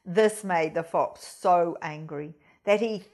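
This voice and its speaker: A woman storyteller reading a children's storybook expressively, with theatrical, deliberate phrasing. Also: lowish voice, a little mellow. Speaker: storyteller, primary school reader.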